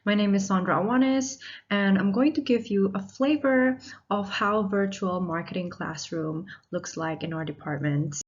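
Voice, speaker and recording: Woman, thirties, university lecturer, measured and explanatory, laptop mic, somewhat muffled audio. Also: medium pitch. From Indonesia. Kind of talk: recorded online lecture. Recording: slightly dull lo-fi audio.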